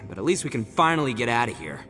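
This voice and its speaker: A brash, slangy young brawler. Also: male voice, mid-range voice, sing-song, quick pace, bright, forceful tone, big-room sound, soft background music. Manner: swaggering, slangy. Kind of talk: video game voice acting.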